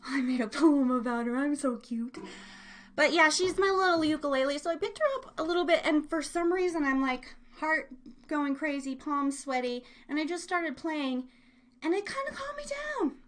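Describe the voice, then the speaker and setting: Woman, higher-pitched voice, online show host, casual rambling chat, playful, scattered, bubbly. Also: animated, moderate pace.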